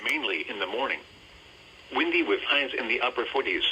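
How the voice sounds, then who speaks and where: A man's voice: mid-range voice, big pitch swings, fairly quick, bright, forceful tone, a little hiss or hum. Harsh, robotic male weather radio announcer. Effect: weather radio speaker. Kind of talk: formal scripted weather bulletin. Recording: muffled, raspy small radio speaker.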